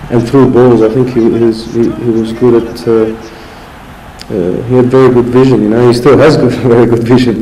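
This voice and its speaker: A former footballer reminisces in a relaxed, rambling interview, full of fillers. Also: male voice, mid-range voice, slight background noise. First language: Croatian. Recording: echoey room.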